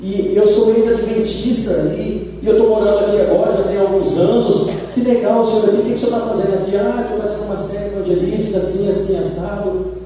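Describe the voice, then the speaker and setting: A man's voice: high voice, quick pace, far from the mic, a little hiss or hum. Brazilian man preaching in a church hall. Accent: Brazilian Portuguese. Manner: animated storytelling, acts out quoted voices. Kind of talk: sermon. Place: church sanctuary. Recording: roomy church hall from afar.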